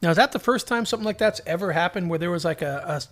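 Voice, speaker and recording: A man's voice: high voice, expressive, rapid-fire, fairly bright tone. A middle-aged man co-hosting a podcast in casual, bantering chat. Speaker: podcast co-host.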